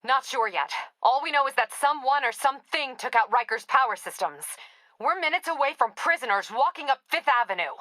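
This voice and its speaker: A tough female police captain for a video game. Her voice is bright and forceful, in a very dry, close recording. She speaks in a clipped, authoritative way, dutiful and a little wry. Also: high-pitched, animated, fairly quick, no room sound. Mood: urgent. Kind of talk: video game dialogue acting.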